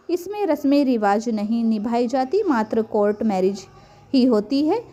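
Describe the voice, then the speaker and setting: A woman's voice: on the high side, mellow. A woman reading a scripted story aloud as a narrator.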